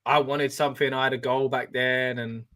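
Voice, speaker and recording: A man hosting a live watch-along, chatting excitedly and reacting as he reads out viewer comments. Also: medium pitch, moderate pace. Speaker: wrestling watch-along host.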